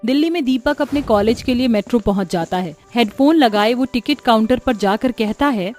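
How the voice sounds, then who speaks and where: A woman narrating a scripted cartoon horror story in a steady, dramatic storytelling manner. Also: a little high, normal speed, soft background music.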